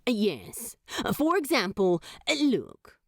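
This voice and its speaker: A cheeky, blunt male demon in a fanfiction audiobook, as a woman narrator puts him on. He talks slowly and casually, with a very lively lilt, in a dry close recording. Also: mid-range voice, creaky, no room sound, heavily acted. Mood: breezy. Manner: breezy, slangy, blunt.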